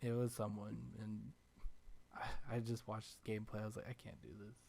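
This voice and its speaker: A man's voice: on the low side, little pitch movement, moderate pace, creaky, crowd noise. A man hosting a podcast in a casual, conversational style, chatting and reading sponsor spots. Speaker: podcast host. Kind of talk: casual chat and ad reads.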